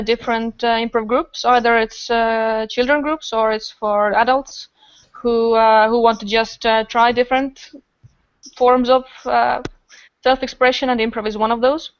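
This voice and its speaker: A woman's voice: fairly high pitch, clean tone, lo-fi sound. A woman talking casually as an interview guest on an internet call. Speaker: guest, improv festival organizer.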